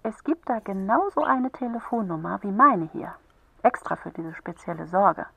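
Patient female helpline counsellor, husky, creaky voice, gently explaining, encouraging, children's radio play. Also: medium pitch, animated, normal speed, no echo, full character voice. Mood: gentle, encouraging.

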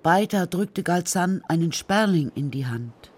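A woman narrating a children's radio play in a measured, scripted storytelling style. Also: on the low side, animated, darkish tone, husky.

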